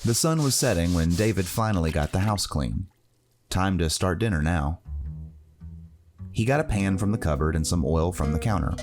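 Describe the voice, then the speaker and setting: Male narrator, low register, reading fantasy fiction over clearly audible plucked guitar. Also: animated, moderate pace, a little mellow. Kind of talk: scripted fantasy story narration. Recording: guitar music behind the voice. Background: plucked guitar music.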